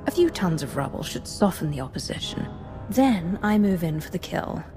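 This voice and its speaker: An actress playing a cold, commanding dark-side Sith in a video game, imperious and menacing, giving clipped orders. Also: female voice, fairly low pitch, sing-song, normal speed, faint music behind. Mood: cold menace.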